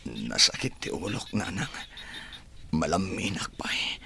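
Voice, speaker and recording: An actor playing a weak, sick adult son with a hushed voice and a Filipino accent in a radio drama. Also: male voice, low-pitched, sing-song, a little slow, whispered, exaggerated voice. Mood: weak, aggrieved. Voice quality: hushed, breathy. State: feeble from illness, acted.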